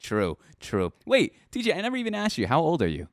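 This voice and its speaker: A man's voice: fairly high pitch, brisk, no echo, clean studio sound. A man in his twenties hosting a live-stream interview, talking energetically and speaking to the chat as he goes. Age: 20s. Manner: energetic, upbeat, addresses the chat. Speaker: host.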